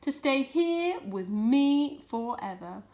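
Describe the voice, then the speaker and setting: A woman's voice: sits high, animated, takes it slowly, darkish tone, voice pushed up. Woman voicing a confident, rousing storybook fox leader. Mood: excited, inviting. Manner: rousing, declamatory speech-making. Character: confident, jovial male fox leader addressing his friends. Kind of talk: children's storybook character reading.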